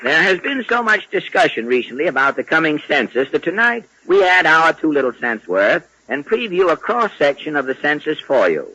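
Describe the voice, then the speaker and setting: A man's voice: on the high side, sing-song, normal speed, few pauses, bright, forceful tone, low-quality recording. A man hosting a 1940s radio comedy show, presenting sketches and guests to listeners. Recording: old radio broadcast with audience noise.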